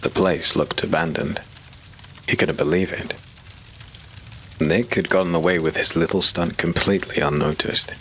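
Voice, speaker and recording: A man narrating a dark fantasy audio drama in a measured, storytelling way. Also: sits low, steady tone, a little hiss or hum.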